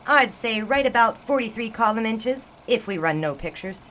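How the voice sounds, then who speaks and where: An actress playing a brisk young woman in a 1920s newsroom, the editor's daughter, relaying news efficiently. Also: fairly high pitch, expressive, a bit bright, a little hiss or hum. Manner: brisk, informative.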